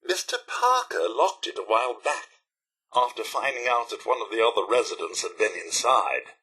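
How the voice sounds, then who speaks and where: Prim, fussy robot butler. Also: male voice, mid-range voice, big pitch swings, moderate pace, pushed and bright, breathy edge, exaggerated voice, low-quality recording. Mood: anxious, courteous. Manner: prim, formal, mannered butler diction. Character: polite, fussy, anxious male robot butler. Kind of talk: scripted video game character dialogue.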